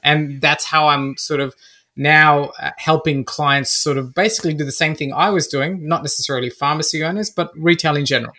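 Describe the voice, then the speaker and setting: Bright, higher-pitched Australian man. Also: fairly even intonation, a little fast. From Australia (Melbourne), born in Fiji. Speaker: podcast guest, business coach. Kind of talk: conversational interview answers.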